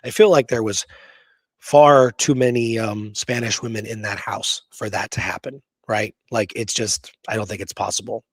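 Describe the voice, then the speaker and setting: A man's voice: lowish voice, steady tone, normal speed, no room sound. A man hosting a casual history talk from notes, speaking in an emphatic, conversational way and often checking in with the listener. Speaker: podcast host.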